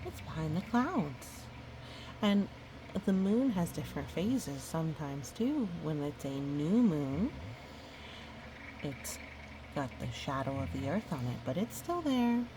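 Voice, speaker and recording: A woman reading a children's picture book aloud, gently, breaking off for musing, chatty asides to a young listener. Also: low-pitched, sing-song, slow, background noise. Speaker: storybook reader.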